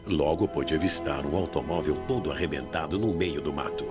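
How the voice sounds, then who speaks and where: A Brazilian man narrating a scripted radio mystery with suspenseful, deliberate phrasing. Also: rumbling low. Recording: 1990s AM radio drama with music bed.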